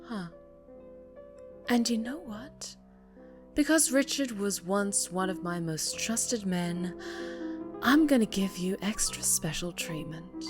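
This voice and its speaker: A woman playing a sadistic, seductive mafia boss in an ASMR roleplay, teasing and menacing, often dropping into breathy whispers. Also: medium pitch, animated, takes it slowly, pauses often, over music. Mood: seductive menace.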